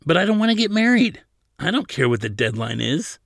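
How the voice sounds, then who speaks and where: A man's voice: mid-range voice, moderate pace, no room sound, studio quality, close to an everyday voice. A man voicing a sulky, stubborn teenage prince. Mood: belligerent. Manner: petulant, whining protests. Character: stubborn, sulky eighteen-year-old exiled prince. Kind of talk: audiobook character dialogue.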